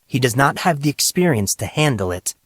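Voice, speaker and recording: A man voicing a calm, grave, otherworldly teenage boy in an audiobook, with a measured and stern manner. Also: mid-range voice, no echo, studio quality. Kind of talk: audiobook character acting.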